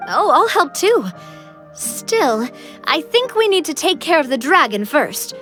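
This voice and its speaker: A woman's voice: sits high, sing-song, measured pace, a bit bright, soft background music, full character voice. A woman playing an earnest, helpful young heroine in a video game, thoughtful and cooperative. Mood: earnest, eager. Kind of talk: video game character acting.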